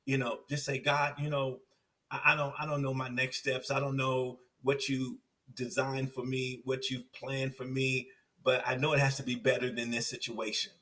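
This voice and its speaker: A man's voice: medium pitch, moderate pace, recorded from a distance. A man telling his life story as a podcast guest, acting out other people's lines as he goes. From Compton, California. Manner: animated storyteller acting out quoted voices. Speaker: podcast guest, success coach.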